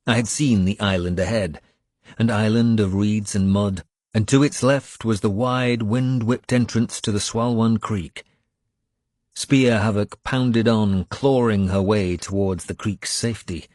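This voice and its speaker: A man narrates a historical adventure in the first person. His voice is low and the sound is dry, with a dramatic, scripted storyteller's delivery. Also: steady tone, measured pace, a little mellow, no echo. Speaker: audiobook narrator.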